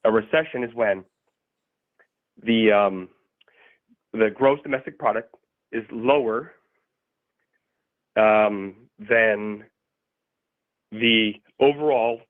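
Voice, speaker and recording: A man in his thirties calling in, talking in a halting way with many fillers. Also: lowish voice. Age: 30s. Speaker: caller. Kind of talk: casual call-in debate. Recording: tinny phone line.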